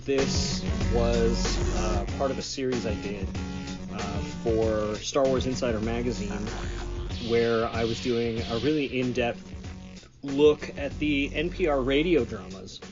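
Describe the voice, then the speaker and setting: A man's voice: medium pitch, a little slow, dull audio, over loud music. A man giving a casual, conversational podcast introduction as host, feeling his way through his phrases.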